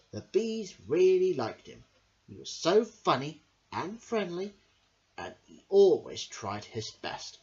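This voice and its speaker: Female children's storyteller, deep register, slow delivery, hugely expressive and direct, slightly muffled lo-fi sound. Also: slight background noise. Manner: expressive, addresses young listeners directly. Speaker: storyteller and host. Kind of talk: animated children's storybook reading.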